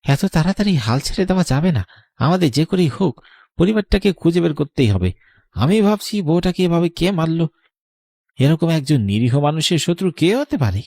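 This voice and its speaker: A man's voice: fairly high pitch, sing-song, fairly quick, vocal fry, warm tone, no echo, studio quality, heavily acted. A man voicing an earnest, anxious but determined young madrasa student in a horror cartoon.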